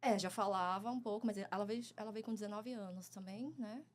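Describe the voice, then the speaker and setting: A woman in her forties from Brazil, talking as a guest in a casual interview, soft-spoken and hesitant with frequent pauses and fillers. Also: medium pitch. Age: 40s.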